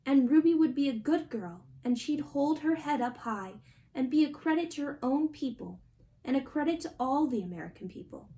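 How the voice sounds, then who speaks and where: Young woman, high voice, storybook reader, reading a picture book aloud. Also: expressive, moderate pace, warm tone. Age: young adult. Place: small indoor room at home.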